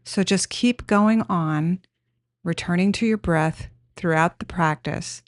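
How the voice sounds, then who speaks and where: A woman leading a guided meditation with gentle, unhurried, simple instructions. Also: on the low side, dry recording, clean studio sound.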